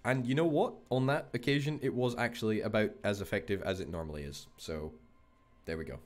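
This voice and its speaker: A man's voice: fairly low pitch, a little fast, soft background music. A man hosting a gaming video, chatting casually with wry, self-deprecating remarks. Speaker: gaming channel host. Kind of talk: casual let's-play commentary.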